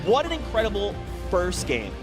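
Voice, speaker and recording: A male esports caster doing live play-by-play at an arena event, building to shouts at big plays, his voice going hoarse. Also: high-pitched, wildly swooping pitch, normal speed, over music. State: losing his voice. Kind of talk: play-by-play commentary.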